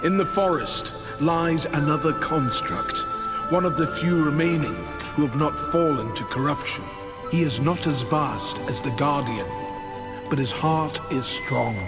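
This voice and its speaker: An actor playing a solemn, world-weary old elder in a video game, grave and measured as he tells of lost things. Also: a little high, close to an everyday voice. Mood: earnest, instructive. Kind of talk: video game cutscene acting. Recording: long hall reverb, music behind.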